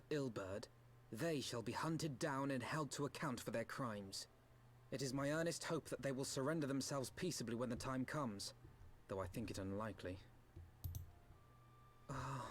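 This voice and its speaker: A man's voice: mid-range voice, vocal fry, slight background noise, lightly acted. A man as an earnest, proud young commander. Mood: solemn, resolute. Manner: formal, solemn, eloquent. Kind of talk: fantasy game acting in archaic formal English.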